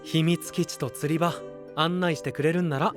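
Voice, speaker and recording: An actor playing a laid-back, friendly young knight in an anime voice drama, with a soft, casual manner. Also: male voice, fairly high pitch, fairly even intonation, moderate pace, over music, lightly acted. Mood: gentle teasing.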